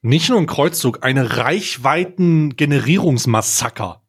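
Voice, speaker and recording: A man's voice: mid-range voice, animated, a little slow, creaky, husky. A man co-hosting a casual comedy podcast in a wordy, mock-dramatic way. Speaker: podcast co-host.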